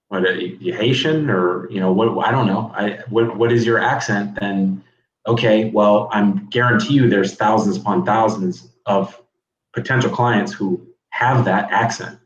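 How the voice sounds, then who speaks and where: A man's voice: lowish voice, measured pace. A man, a coach as guest, talking frankly and casually over a video call. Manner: frank, conversational, repeats words as he talks. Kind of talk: casual interview chat. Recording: echoey, dull video call audio.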